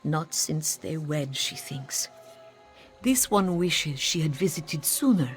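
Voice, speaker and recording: Woman as low-voiced solemn cat-folk priestess. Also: lively intonation, slow pace, full character voice. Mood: solemn, explaining. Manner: formal, speaks of self in third person. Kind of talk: scripted fantasy game dialogue. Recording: background music under the voice.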